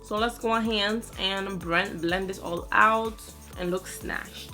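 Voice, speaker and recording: A young woman with French as her first language hosts a casual makeup tutorial, full of sassy slang and playful asides. Her voice is bright and clear, sitting in a middle range. Soft music plays faintly behind her. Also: mid-range voice, fairly even intonation, takes it slowly. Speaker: beauty vlogger.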